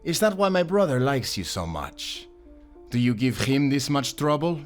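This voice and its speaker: A man playing a cruel, vengeful exiled prince in an audio drama, taunting and sneering theatrically, with music behind him. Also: fairly low pitch. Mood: mocking, cool. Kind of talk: dramatic audio roleplay acting.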